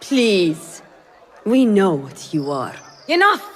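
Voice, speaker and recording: A woman's voice: mid-range voice, huge pitch swings, slow, slight background noise. An actress playing a cool, scheming, commanding courtly woman in a video game, poised and insinuating. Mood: impatient, commanding. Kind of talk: cinematic video game acting. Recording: long reverberant hall echo.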